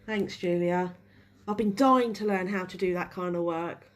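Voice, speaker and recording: A woman's voice: on the low side, normal speed. A woman with a head cold and a stuffy voice, chatting through a live nail-art tutorial while she works. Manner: chatty, restarts while working. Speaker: nail technician teaching a live class.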